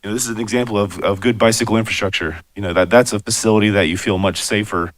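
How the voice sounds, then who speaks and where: A man with a raspy voice, hoarse from a cold, presenting a plan to a city commission in a loose, conversational way with lots of fillers. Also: on the low side, steady tone, fast talker, no room sound. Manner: conversational, many fillers and asides. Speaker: bicycle and pedestrian planner presenting. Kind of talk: public meeting presentation.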